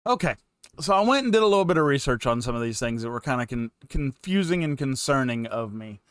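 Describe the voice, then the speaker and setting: A man's voice: medium pitch, lively intonation, fast, clean tone, no echo, studio quality. A man giving casual, unscripted reaction commentary, rambling and repeating himself as a host. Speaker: fan reaction channel host.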